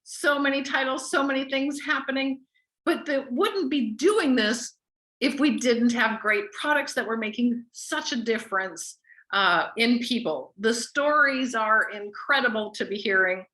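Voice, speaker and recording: A woman with a fairly high, bright voice hosts an informal group call. Her delivery is conversational and full of gushing enthusiasm. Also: measured pace. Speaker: host of a weekly company group call. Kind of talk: casual hosting, informal introduction.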